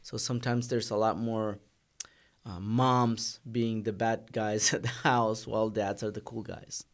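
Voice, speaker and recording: A man's voice: fairly low pitch, level tone, a little slow. Earnest Argentine-born man on a podcast. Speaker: guest. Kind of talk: casual podcast conversation.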